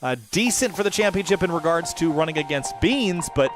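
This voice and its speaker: A man hosting a sim racing broadcast, running through race results in a steady, conversational way before interviewing drivers. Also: a little high, brisk, over music.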